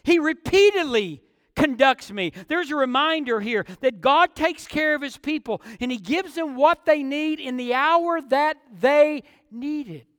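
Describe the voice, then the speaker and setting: A man's voice: extremely high pitch, expressive, measured pace, fairly bright tone, no room sound, studio quality, big-room sound. A man preaching an expository sermon, with emphatic phrasing and repetition for effect.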